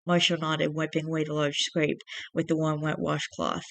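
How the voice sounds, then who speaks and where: Young male channel host, raspy and dry-throated, bright and monotone, rambling chatter. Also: a little high, normal speed, dry recording. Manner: rambling, self-correcting chat. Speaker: channel host reading fiction. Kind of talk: storybook reading, then casual vlog chat.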